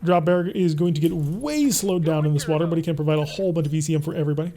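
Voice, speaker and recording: A man's voice: a little high, racing, mellow. A young man streaming a mech strategy game, chatting casually and answering his live chat as he plays. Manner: chatty, reads and answers chat while playing. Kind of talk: casual gameplay commentary.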